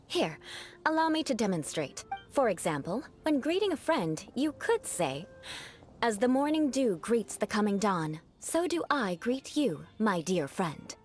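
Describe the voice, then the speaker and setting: Haughty, high-voiced young noblewoman. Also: big pitch swings, measured pace. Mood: instructive. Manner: formal, haughty, precise wording. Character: proud young aristocrat woman, haughty, theatrically vengeful. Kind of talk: video game voice acting. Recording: game music behind the voice. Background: music.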